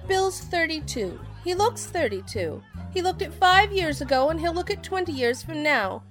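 A woman's voice: very high voice, sing-song, moderate pace, faint music behind. A woman playing a proud, sardonic forty-year-old stage actress in an audio drama, with theatrical delivery. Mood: bitter, wounded.

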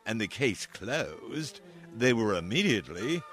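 Male narrator for radio fairy tale: low, unhurried, expressive, music underneath. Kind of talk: storybook radio play narration.

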